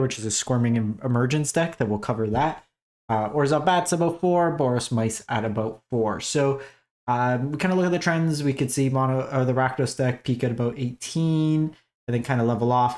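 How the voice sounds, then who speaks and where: A man hosting a casual game strategy breakdown, his voice raspy as he is losing it, talking in a conversational way with many fillers. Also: medium pitch, darkish tone. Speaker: host. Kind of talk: casual gaming commentary.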